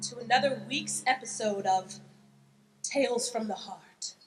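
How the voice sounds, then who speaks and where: A woman playing a suspenseful narrator of a detective serial in an improvised radio play, with hushed, dramatic pauses. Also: on the high side, soft background music, lightly acted. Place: theater stage before an audience. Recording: echoey, very noisy stage, distant mic.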